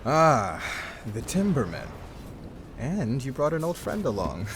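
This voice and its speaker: A man's voice: mid-range voice, big pitch swings, measured pace, background noise. A man playing a feared pirate overlord in an audio drama, a sly, gloating villain with a mock-courteous, taunting, theatrical delivery. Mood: smug, taunting. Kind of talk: cinematic audio roleplay acting.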